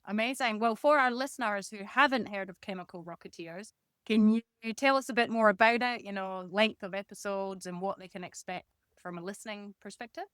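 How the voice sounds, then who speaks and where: A woman's voice: mid-range voice, a little fast, fairly bright tone, clear, resonant tone, no echo. A woman hosting an interview podcast, introducing her guest and asking questions.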